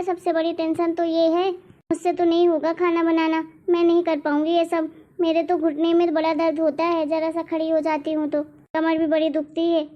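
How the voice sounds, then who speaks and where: A very high-pitched older mother-in-law from a family cartoon, acted out by a woman. She frets and nags in a complaining way, and the sound is slightly dull and muffled. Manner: fretful, nagging. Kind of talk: Hindi cartoon family drama voice acting.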